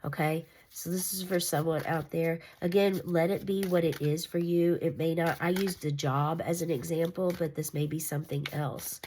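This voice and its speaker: Congested low-voiced woman reading tarot. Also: fairly even intonation, moderate pace, hardly any pauses, a little mellow, a little hiss or hum. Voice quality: nasal. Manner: meandering, reassuring, with fillers and restarts. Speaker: tarot reader.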